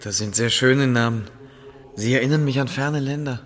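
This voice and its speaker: An actor playing a dreamy young scholar of eastern lore in a radio play. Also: male voice, mid-range voice, moderate pace, long reverb tail, close to an everyday voice. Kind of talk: German radio play acting.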